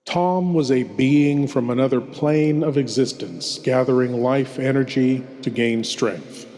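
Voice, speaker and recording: A man hosting a horror show with scripted, theatrical, deliberate and mock-ominous delivery. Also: medium pitch, mellow, slight background noise. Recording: long cavernous reverb.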